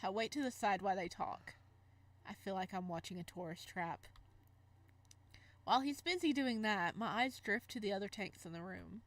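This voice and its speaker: A woman's voice: mid-range voice, animated, normal speed. A woman reading a visual novel aloud with casual reactions, giggling and stumbling over words as she goes. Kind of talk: let's play read-aloud with casual reactions.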